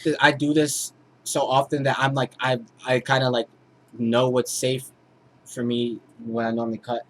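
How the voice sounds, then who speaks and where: A man with a mid-pitched voice gives an informal audio tutorial, explaining step by step like a producer. There is clear noise behind him. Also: flat delivery, moderate pace, a bit bright. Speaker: music producer teaching vocal mixing.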